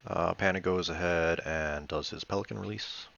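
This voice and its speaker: A man's voice: low-pitched, fairly even intonation, moderate pace, vocal fry, fairly bright tone, highs cut off. A man giving casual commentary on a board game replay, thinking aloud in a hesitant, matter-of-fact way. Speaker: board game player reviewing own replay.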